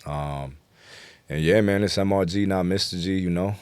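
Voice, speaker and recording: Very deep-voiced New man. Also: moderate pace, a little mellow, clean tone, no echo. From New York City, United States. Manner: slangy, profane, self-assured. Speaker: guest rapper. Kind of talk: casual interview chat.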